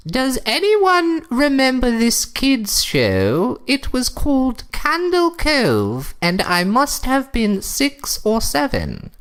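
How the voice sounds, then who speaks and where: A woman's voice: sits high, huge pitch swings, takes it slowly, few pauses, exaggerated voice. A woman playing a snooty, fussy older wife in a comic story reading, with a prim, theatrical, condescending delivery.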